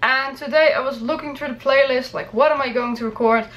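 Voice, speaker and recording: A woman's voice: sits high, steady tone, fairly quick, fairly bright tone, low-quality recording. A woman hosting a casual reaction video, speaking as an enthusiastic, gushing fan.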